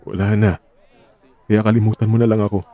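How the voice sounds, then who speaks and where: A resigned, secretive male lover in a radio drama, played by a man. His dark, soft-edged voice is subdued and apologetic as he pushes his partner away. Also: fairly low pitch, flat delivery, fairly quick, close to an everyday voice. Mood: resigned, sorrowful. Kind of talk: Tagalog radio drama acting.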